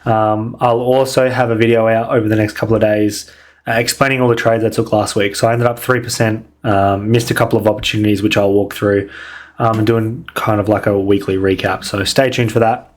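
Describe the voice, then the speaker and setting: A young man losing his voice and sounding croaky, casually walking through a weekly trading outlook with lots of ums. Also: on the low side, brisk. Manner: relaxed, filler-heavy, many ums. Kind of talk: casual market analysis over charts.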